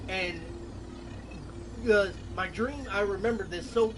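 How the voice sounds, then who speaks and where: A man streaming a game, chatting casually and telling things in a halting, confiding way. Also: high-pitched, crowd noise. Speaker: gameplay streamer. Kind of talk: casual chat over gameplay. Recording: distant mic with clear background noise.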